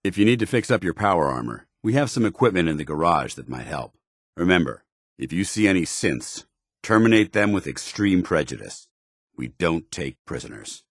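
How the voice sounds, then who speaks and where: A dutiful paladin in power armor from a video game. His voice is very deep and recorded very dry. He speaks with stern, formal military bearing, clipping his phrases like an officer. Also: very animated, moderate pace, husky, no room sound, full character voice. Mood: resolute, businesslike. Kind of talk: video game companion dialogue acting.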